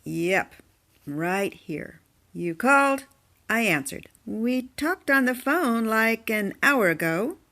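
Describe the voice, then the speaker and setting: A woman's voice: on the high side, big pitch swings, slow, sharp tone, full character voice. A woman playing a blunt, independent blue-collar plumber with a casual, dry manner in an audio drama. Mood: matter-of-fact.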